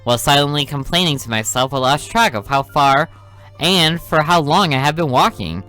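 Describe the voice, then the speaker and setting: A man's voice: on the high side, expressive, normal speed, soft background music. Man reading a game story aloud. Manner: reads narration evenly, drops into quiet asides. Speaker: let's play host. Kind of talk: reading a visual novel aloud with asides.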